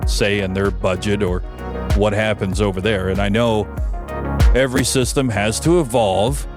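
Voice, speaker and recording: A man's voice: on the low side, fairly even intonation, normal speed, music in the background. An American man hosting a podcast, delivering a conversational opinion monologue in a wry, emphatic way. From United States. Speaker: podcast host, broadcast engineer.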